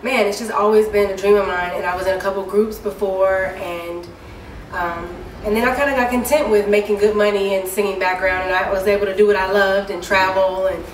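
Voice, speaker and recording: A woman singer giving a casual interview, talking in a deep, low speaking voice with many easygoing fillers. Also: fairly quick. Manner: relaxed and chatty, many fillers like "um" and "you know". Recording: echoey room, mic far off, clear background noise.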